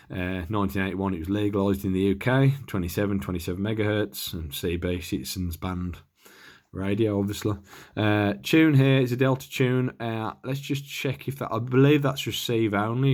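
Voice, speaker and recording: A man giving a casual, unscripted hands-on demonstration, thinking aloud in a hesitant, tentative way. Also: lowish voice. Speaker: presenter of a radio equipment demonstration.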